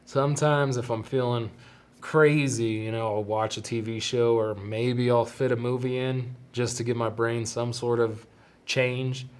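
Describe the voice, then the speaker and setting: A man's voice: medium pitch, flat delivery, measured pace, smooth. Stuffy-nosed young man hosting a podcast. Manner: rambling and self-deprecating, laughs easily. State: stuffy, congested nose. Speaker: podcast host. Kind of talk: casual solo podcast talk.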